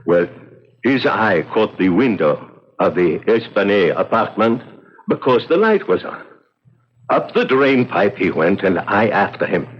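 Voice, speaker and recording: Guilt-ridden confessor, excitable and self-justifying, swooping intonation, 1970s radio drama, muffled lo-fi sound. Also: male voice, on the low side, unhurried, slightly rough, full character voice. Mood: anguished. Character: desperate, guilt-ridden poor sailor confessing. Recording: dull, lo-fi old radio recording.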